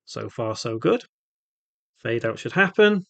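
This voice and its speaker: A man hosting an informal step-by-step software tutorial, thinking aloud as he works through it. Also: medium pitch, big pitch swings, measured pace, creaky, dry recording. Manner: thinks aloud while working, spelling out code symbol by symbol. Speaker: tutorial host, game development instructor.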